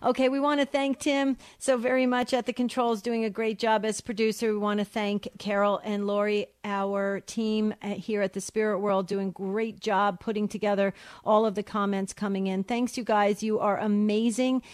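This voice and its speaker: A woman with a New Jersey accent hosting a call-in radio show, talking briskly and upbeat as she hurries through questions and announcements. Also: mid-range voice, level tone, dry recording, studio quality.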